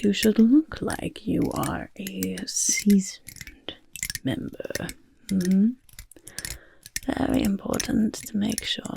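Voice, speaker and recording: A woman voicing an eccentric, self-important goblin overlord in soft-spoken ASMR roleplay, half whispering in a breathy rasp and fussing with repeated words. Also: medium pitch, heavily acted. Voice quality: breathy, croaky, raspy whisper. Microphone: close, dry ASMR microphone.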